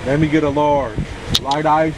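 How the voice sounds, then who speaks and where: A man's voice: fairly even intonation, moderate pace, distant mic. A young American man chatting casually, with plenty of slang and swearing. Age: young adult. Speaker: prankster in the car. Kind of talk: casual chat. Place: inside a car at a drive-thru. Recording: noisy car interior, vehicle rumble.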